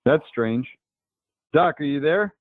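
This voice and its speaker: A man hosting a video call, giving brisk and direct instructions. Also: a little high, expressive, no room sound. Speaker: call host. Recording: dry tinny phone-like line.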